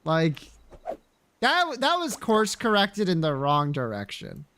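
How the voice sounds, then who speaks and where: A man's voice: fairly high pitch, wildly swooping pitch, takes it slowly, fairly bright tone, slight background noise. A man livestreaming a game, chatting casually and bluntly with viewers in slangy, sweary, playfully opinionated talk. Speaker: livestreamer playing a video game. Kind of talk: casual gaming chat, reacting to viewers.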